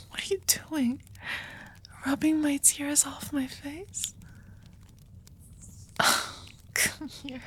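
A woman voicing an anxious, devoted young female vampire who confesses her love in a soft, hesitant whisper, in an intimate audio roleplay. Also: sits high, drawn-out words, long pauses, full character voice. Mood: tender, confessing.

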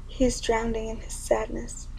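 A young woman performing spoken word poetry in an intimate, often whispered delivery with a gravelly edge. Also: on the high side, monotone, normal speed, a little mellow, slight background noise, low-quality recording.

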